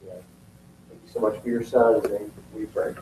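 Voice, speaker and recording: A man leading an unscripted prayer in a soft, halting way, with fillers between phrases. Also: on the low side, flat delivery. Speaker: class member leading prayer. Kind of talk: spontaneous spoken prayer. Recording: distant and roomy with faint noise.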